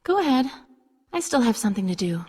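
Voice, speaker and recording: A woman's voice: on the high side, sing-song, fast talker, warm tone, husky. A woman playing a teasing, chatty teenage schoolgirl in a video game. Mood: matter-of-fact.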